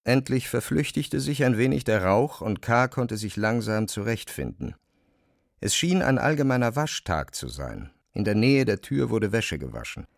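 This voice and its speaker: A man narrating a literary radio drama in German in a measured, deliberate way. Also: on the low side, animated, gravelly, no room sound, studio quality.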